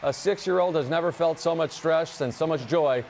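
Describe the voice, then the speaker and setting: A man with an American accent reading a scripted sportscast over highlights in a punchy, playful delivery. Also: moderate pace, darkish tone, slight background noise. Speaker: TV sports anchor.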